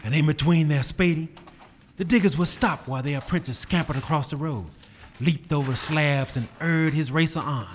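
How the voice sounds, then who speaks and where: A man's voice: a little high, moderate pace, slight background noise. A man narrating a scripted story in a dramatic style. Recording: reverberant, faint music behind.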